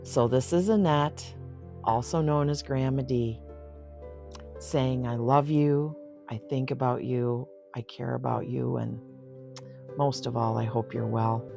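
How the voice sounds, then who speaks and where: A woman's voice: rumbling low, steady tone, takes it slowly, creaky, dark tone, faint music behind. An older woman reading picture books aloud as host, with effusive, exclaiming asides spoken straight to young listeners. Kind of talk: storybook read-aloud with chatty asides.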